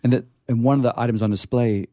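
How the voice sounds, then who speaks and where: A man's voice: medium pitch, fairly even intonation, quick pace, warm tone, no room sound, clean studio sound. A man hosting an informal live talk, hesitant and repeating his phrases, with playfully formal turns. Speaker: library staffer hosting a discussion.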